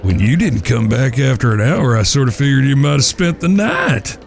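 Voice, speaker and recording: A man's voice: medium pitch, very animated, normal speed, vocal fry. An actor playing a wry eldest cowboy brother in a Western audio drama, folksy and mock-formal in his talk. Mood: wry, teasing.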